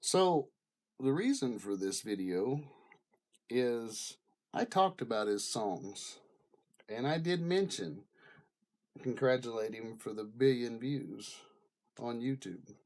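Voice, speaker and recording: A man talking off the cuff in a casual, rambling vlog style, often pausing and circling back as he goes. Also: mid-range voice, lively intonation, darkish tone. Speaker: vlogger. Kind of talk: rambling casual commentary to camera.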